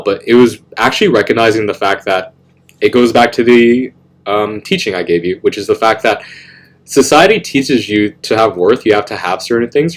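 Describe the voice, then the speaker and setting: A young man hosting a casual chat, animated and laughing often, full of "bro" and "like", with a deep voice. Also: rough recording. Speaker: host.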